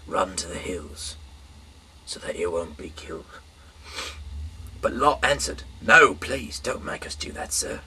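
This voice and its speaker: A man's voice: low-pitched, big pitch swings, measured pace, long pauses, bright, forceful tone. A man in his thirties reading scripture aloud in an informal but dramatic way, with the odd stumble. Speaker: Bible reader. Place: small dim room indoors. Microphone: camera mic from a little way off. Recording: distant mic, faint background hiss.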